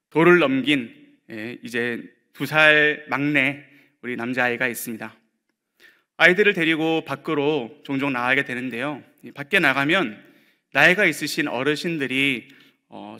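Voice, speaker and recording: A male pastor giving a sermon in a conversational way, with light humor and frequent pausing fillers. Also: mid-range voice, pushed and bright.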